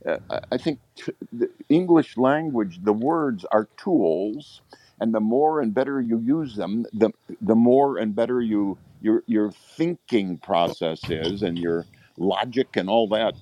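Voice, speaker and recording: A man's voice: lowish voice, animated, mellow. A man co-hosting a podcast chat, voicing blunt opinions and often restarting his sentences. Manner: opinionated, halting with repeated restarts. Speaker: podcast co-host. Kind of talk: casual panel discussion.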